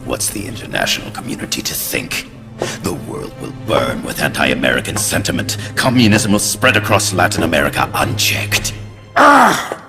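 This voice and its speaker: An actor playing a smug, scheming middle-aged Soviet spymaster, speaking theatrically in a dramatic video game. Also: male voice, rumbling low, gravelly, full character voice.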